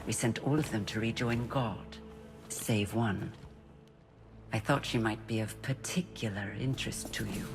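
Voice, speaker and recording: An actor voicing a coldly menacing, condescending church inquisitor who speaks in a measured way, over game music. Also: mid-range voice, creaky.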